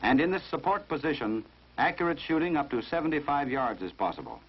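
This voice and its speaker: An American man reading scripted narration for a 1950s instructional film in a formal, measured, authoritative manner. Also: fairly high pitch, sing-song, slight background noise. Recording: dull, muffled vintage 1950s film soundtrack.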